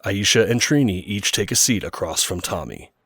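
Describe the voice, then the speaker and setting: A man's voice: fairly low pitch, lively intonation, normal speed, a bit bright, breathy edge, dry recording, studio quality. A man reading scripted narration for an audio drama. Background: music.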